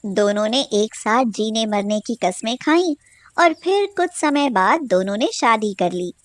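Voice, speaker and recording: A woman's voice: on the high side, lively intonation, unhurried, no echo. A woman reading a scripted story as a storyteller. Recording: music behind the voice.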